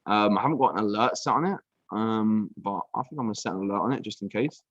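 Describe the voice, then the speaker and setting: A young British man breaking down trading charts on a casual live stream, relaxed and chatty, full of "um", "bro" and "like". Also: on the low side, fast talker, dry recording, dull audio. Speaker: trading mentor. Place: villa room.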